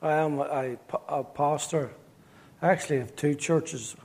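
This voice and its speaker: A man's voice: on the high side, steady tone, darkish tone. Wry Belfast man telling his story. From Northern Ireland. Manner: wry, joking, halting restarts. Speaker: pastor. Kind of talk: interview storytelling.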